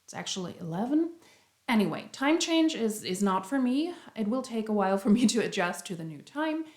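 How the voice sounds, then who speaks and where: A woman's voice: a little high, big pitch swings, brisk. A woman with a cold and a slightly nasal voice, chatting casually as she explains a topic to her viewers. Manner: chatty, self-deprecating, explains step by step. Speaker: YouTube commentary host. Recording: roomy echo.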